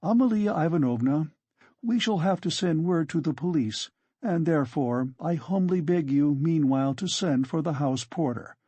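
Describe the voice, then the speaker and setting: A narrator voicing a pompous, self-righteous gentleman accuser with formal, reproachful speech in an audiobook reading. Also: medium pitch, normal speed, husky, dry recording, voice pushed up, close to an everyday voice. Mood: soft, coldly polite.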